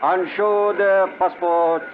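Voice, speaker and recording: An actor playing a formal ship official calling to passengers in a 1950s radio drama. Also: male voice, high voice, fairly even intonation, slow pace. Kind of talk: monotone called announcement in 1950s radio drama. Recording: echoey, muffled, noisy old radio recording.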